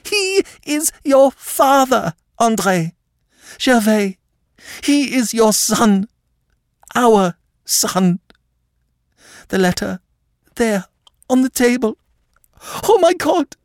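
A desperate noblewoman of France in a dramatic novel reading, acted out by a man. The voice is lifted high and breathless, imploring and overwrought, swinging widely in pitch. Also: very animated, drawn-out words, pauses often, no room sound, clean studio sound, heavily acted. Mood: anguished, hysterical. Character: desperate pleading French noblewoman, panting, near hysteria. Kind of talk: dramatic audiobook character acting.

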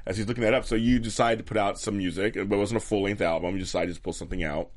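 A male host chatting eagerly in an interview, quick to agree and talk about his own ideas. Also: fairly low pitch. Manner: fast, animated, eager, with lots of quick agreement. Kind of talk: casual interview chat.

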